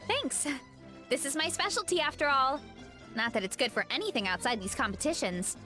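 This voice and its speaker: A sweet modest game heroine, high and lively, earnest, faint music. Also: female voice, fairly quick. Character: modest, sweet young woman champion eater, earnest. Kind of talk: anime-style video game dialogue.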